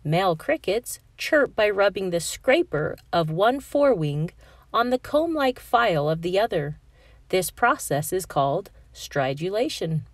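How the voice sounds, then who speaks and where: A woman reading a children's picture book aloud, with expressive phrasing aimed at young children. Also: mid-range voice, measured pace, no echo. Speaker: read-aloud storyteller.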